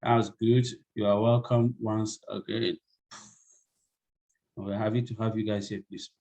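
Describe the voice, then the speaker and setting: Polite halting man hosting a webinar. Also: fairly low pitch, little pitch movement, dark tone, lo-fi sound. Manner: polite, apologetic, halting with many fillers. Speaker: training coordinator and webinar host. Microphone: video call.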